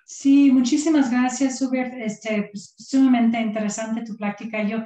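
A woman's voice: on the high side, steady tone, measured pace, mellow. A woman in an online panel discussion, appreciative and chatty with fillers, asking the speaker a question. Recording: echoey room on a video call.

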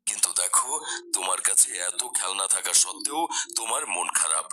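A man playing a pious, patient father who gently admonishes his daughter in a cartoon. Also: low voice, lively intonation, a little fast, slight background noise, exaggerated voice. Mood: admonishing. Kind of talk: cartoon dialogue.

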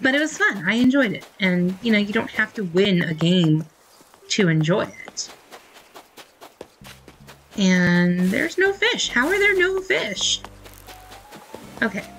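Female game streamer, thirties, mid-range voice, relaxed rambling chat that thinks out loud, over soft guitar music. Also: medium pitch, slow, pauses often, poor audio. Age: 30s. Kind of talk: casual chat while playing a game.

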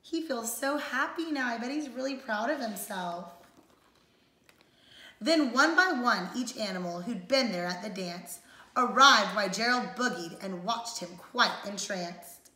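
A woman's voice: fairly high pitch, animated, measured pace, sharp tone. A young woman reading a picture book aloud to young children, adding simple explanatory asides. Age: young adult. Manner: simple, child-directed phrasing. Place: indoors, living room on a leather couch. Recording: roomy, echoey home recording.

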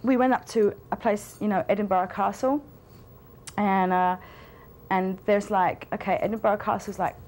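A woman's voice: mid-range voice, fairly even intonation, normal speed, darkish tone. A young woman telling a personal story to the camera in a rambling way, full of fillers. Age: young adult. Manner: rambling, with many fillers and restarts. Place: indoor studio with a plain wall.

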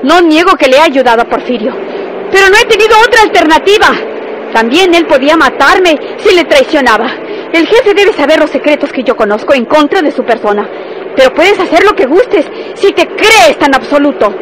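A defiant woman held captive by gangsters. Her voice is high and insistent, declaiming as in a radio soap. The old recording is clearly noisy. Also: lively intonation, a little fast. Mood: defiant pleading. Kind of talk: Spanish-language radionovela acting.

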